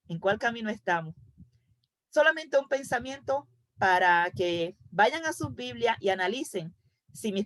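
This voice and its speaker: Woman Bible study leader, earnest, rhetorical questions, rather high voice, dry close sound. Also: no echo. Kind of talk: Bible teaching to a women's group.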